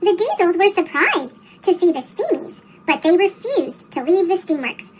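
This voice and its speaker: A woman's voice: very high-pitched, sing-song, normal speed, slight background noise, rough recording. A woman reading a children's story aloud, her voice turned into a sped-up, childlike chipmunk sound. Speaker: storybook reader.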